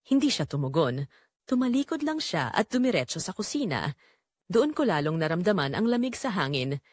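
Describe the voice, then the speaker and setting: A woman playing a wounded middle-aged mother who tells her heartbreak in earnest, deliberate audio drama narration. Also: lowish voice, very animated, no room sound. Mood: hurt, reflective.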